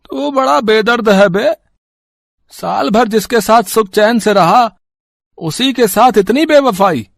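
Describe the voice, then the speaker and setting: Man acting a poor old villager, plaintive, callous, rustic, high-pitched, dry close sound, audio story. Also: gravelly, no echo, heavily acted. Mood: scolding. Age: older. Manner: drawn-out, plaintive, rustic speech. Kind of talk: acted Hindi audio story dialogue.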